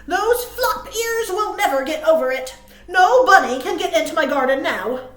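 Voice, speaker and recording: A woman's voice: very high-pitched, lively intonation, normal speed, fairly bright tone, echoey room, pitched above the performer's own voice. A woman voicing a determined, indignant man who gardens, in a children's storybook, with an emphatic, huffy, boastful delivery. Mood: huffy, confident.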